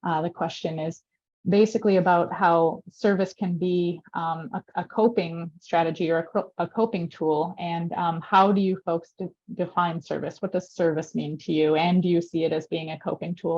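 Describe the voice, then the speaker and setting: A woman's voice: on the low side, fairly even intonation, normal speed, darkish tone. A woman moderating a panel over a video call. She thanks the speakers and talks casually, with "like" and "you know". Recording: slightly dull lo-fi audio.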